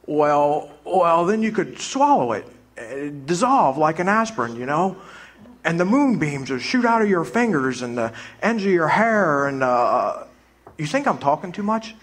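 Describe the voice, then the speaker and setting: A man's voice: a little high, very animated, unhurried. An actor playing an eager, talkative young man full of big plans in a live radio play. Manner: eager, rambling, boyish.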